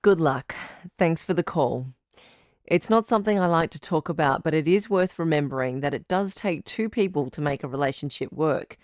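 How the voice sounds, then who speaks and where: Low-voiced woman radio advice doctor, played by an actress. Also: no room sound, studio quality, natural voice. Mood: welcoming, professional. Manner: measured, articulate, professional counselling tone. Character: calm, supportive woman doctor hosting radio advice show. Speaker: radio advice show host, sex therapist. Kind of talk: scripted audio drama as call-in radio advice.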